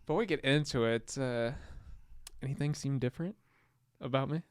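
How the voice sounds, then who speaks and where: A man co-hosting a casual podcast, joking around and talking in halting bursts full of fillers. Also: mid-range voice, smooth, no echo, studio quality. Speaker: podcast co-host. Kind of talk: casual chat.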